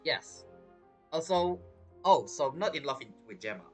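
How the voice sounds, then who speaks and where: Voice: medium pitch, animated, moderate pace, husky, faint music behind. A streamer giving casual, hesitant, rambling commentary while playing a video game. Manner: hesitant, rambling, self-talking.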